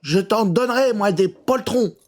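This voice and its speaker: A man's voice: sits high, a little slow, slight background noise, voice pushed up. A man voicing a grumpy, proud, daredevil young boy in a children's audio story, speaking in a curt, boastful way. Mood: defiant, proud.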